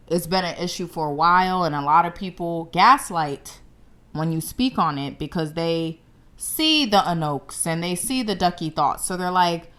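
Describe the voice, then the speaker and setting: A woman's voice: sits low, fairly bright tone. A young woman from the United States hosting her own show, giving blunt, confident commentary straight to camera. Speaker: show host.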